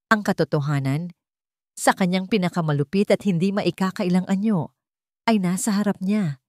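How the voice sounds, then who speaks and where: A woman with a fairly low voice reads a dramatic story aloud. Her phrasing is measured, with deliberate pauses for weight. The sound is dry, with very little room around it. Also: a little mellow, no room sound. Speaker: storyteller, narrator. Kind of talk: dramatic scripted story narration.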